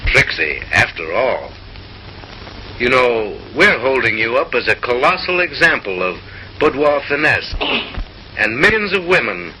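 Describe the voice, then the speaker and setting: A man's voice: medium pitch, sing-song, a little slow, noisy background. A man narrating in a mid-century comic newsreel style, with an arch, mock-refined, theatrical delivery, on a vintage film soundtrack.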